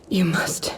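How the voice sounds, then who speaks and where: A woman playing a wounded, poisoned young noblewoman in a game cutscene, speaking haltingly and urgently in a weak, breathy voice. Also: a little hiss or hum. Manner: halting, formal speech. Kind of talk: video game cutscene acting.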